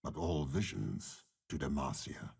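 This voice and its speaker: An actor playing a commanding, cold, cunning older warlord with demonic power, speaking in slow, measured, eloquent game voice lines with a gravelly rasp. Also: male voice, very deep voice, lively intonation, a little mellow, exaggerated voice. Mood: imperious, dry. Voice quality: gravelly, raspy. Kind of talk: dramatic game character voice lines.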